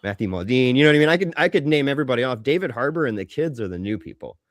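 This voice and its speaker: A man's voice: mid-range voice, animated, rapid-fire, no echo, studio quality. A man co-hosting a podcast with casual, opinionated banter, blunt and free with swearing, acting out his own reactions as quotes. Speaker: podcast co-host.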